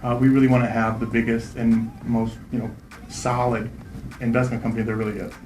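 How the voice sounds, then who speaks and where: A man in his thirties, a real estate investor, answering interview questions quickly and confidently, often tagging "right?" onto his points. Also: medium pitch, level tone, darkish tone, roomy sound, soft background music, low-quality recording. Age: 30s. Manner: fast, confident, repeats "right?".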